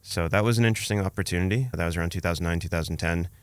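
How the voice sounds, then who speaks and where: A man's voice: low-pitched, racing, smooth, no room sound, clean studio sound. A man, telling his own story conversationally as a podcast guest. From Canada, born in Romania.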